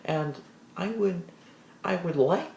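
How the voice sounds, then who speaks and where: A man giving a casual solo wrestling show review, rambling with restarts and animated asides in a deep voice. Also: slow, echoey room, slight background noise.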